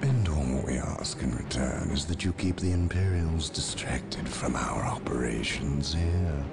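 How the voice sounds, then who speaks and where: A cold scheming traitor. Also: male voice, rumbling low, creaky, heavily acted. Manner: cold, measured, disdainful. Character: scheming human male traitor ally of orcs. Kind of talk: video game cutscene acting. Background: music.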